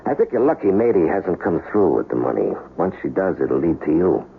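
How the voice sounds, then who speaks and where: An actor playing a wary, shrewd small-time crook on the run, terse and guarded, in an old-time radio crime drama. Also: male voice, sits low, sing-song, fairly quick, creaky, exaggerated voice. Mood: wry, decisive. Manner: terse, guarded, tough-talking. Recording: muffled, hissy old radio recording.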